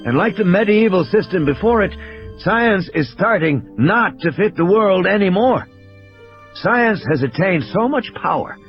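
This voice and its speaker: An intense, ailing male scientist, acted by a man reading an audiobook lectures grimly over music in slightly muffled audio. His delivery is emphatic and rhetorical, with a very animated melody. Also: on the high side. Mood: insistent, grim. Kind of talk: audiobook character dialogue. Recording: dull lo-fi audio, music behind.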